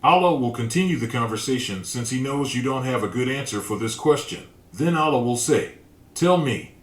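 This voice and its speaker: A man reads a religious reflection in a calm, measured way, his voice at an ordinary middle pitch. The sound carries a noticeable echo, as if recorded in a bare, roomy space. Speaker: religious narrator. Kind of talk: scripted religious narration.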